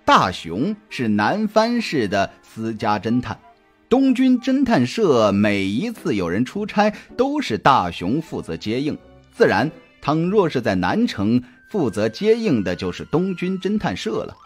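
Very animated male suspense storyteller. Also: mid-range voice, measured pace, husky. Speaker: audiobook narrator. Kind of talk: scripted suspense story narration, dramatic storytelling. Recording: music behind the voice. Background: background music.

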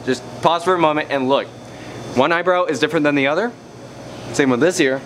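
A teenage boy with a slightly high voice jokes and haggles with his friends. The camera records him inside a small convenience store, with loud hum and noise in the background. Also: fairly quick. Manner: joking, haggling. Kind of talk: casual chat.

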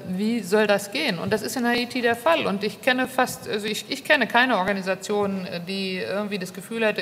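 A woman's voice: mid-range voice, a bit bright. A middle-aged woman speaking off the cuff as a panelist, emphatic and repeating herself as she argues. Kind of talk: unscripted panel discussion argument. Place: large wood-panelled conference hall. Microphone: table microphone on a stand. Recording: reverberant hall with clear background noise.

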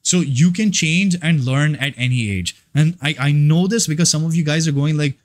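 A man's voice: on the high side, moderate pace. A man who works as a fitness and life coach, giving a casual motivational monologue in a rambling, profane and emphatic way.

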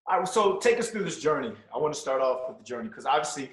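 A man's voice: mid-range voice, sing-song, quick pace. Upbeat man hosting, roomy sound. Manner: upbeat, chatty, frequent "you know" and "wow". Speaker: podcast host. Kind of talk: casual interview over video call. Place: home room. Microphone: podcast mic on stand, headphones.

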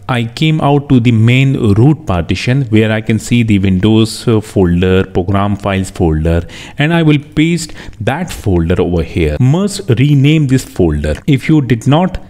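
A man's voice: fairly low pitch, darkish tone. A man narrating a step-by-step tech tutorial as its host, in an instructional way that repeats key steps.

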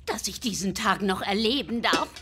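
A woman's voice: medium pitch, moderate pace, noisy background, close to an everyday voice. An actress playing a motherly, hospitable female beaver with a fussing, welcoming manner in an audio drama. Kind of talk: German audio drama acting. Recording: music under the voice.

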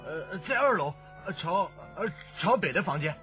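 Jittery stammering snitch in a radio crime drama, high bright voice, bit muffled. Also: male voice, expressive, normal speed, soft background music. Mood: anxious, flustered. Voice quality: heavy stutter. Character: nervous stuttering male informant, bumbling and eager.

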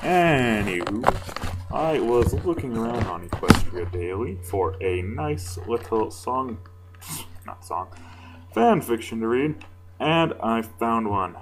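A man with a deep voice reading fan fiction casually, informal and self-deprecating, stumbling now and then. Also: animated, slow, noisy background. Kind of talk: casual amateur story reading with asides.

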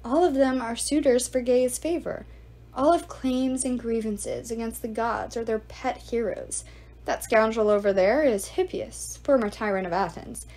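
A woman's voice: fairly high pitch, a little slow, a little mellow. A woman reader voicing an arrogant, sneering male ghoul, the ghost of a suitor, talking with mocking contempt.

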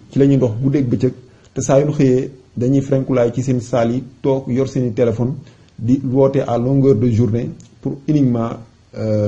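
Dark, muffled Senegalese man explaining. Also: medium pitch. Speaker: presenter of an awareness tutorial. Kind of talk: informal explainer voice-over. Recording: dull, muffled lo-fi recording.

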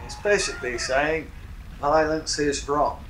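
A man's voice: on the high side, measured pace, roomy sound, noisy background. A man chiming in as co-commentator on a video game with casual, sardonic remarks. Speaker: gameplay co-commentator.

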